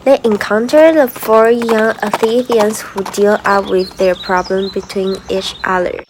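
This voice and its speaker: A woman's voice: medium pitch, fairly even intonation, measured pace, background noise. A woman reading scripted story narration as the narrator of a radio drama.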